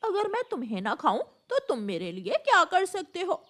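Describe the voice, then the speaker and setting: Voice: extremely high pitch, wildly swooping pitch, normal speed, a bit bright, full character voice. A woman voicing a vain, bossy, scheming female crow in a storytelling cartoon, theatrical and gloating. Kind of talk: Hindi storytelling cartoon voice acting.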